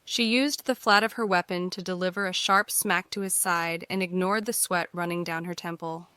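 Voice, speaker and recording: A woman's voice: fairly low pitch, fairly even intonation, moderate pace, sharp tone, clear, resonant tone, dry recording. A woman reading scripted fiction narration for an audiobook.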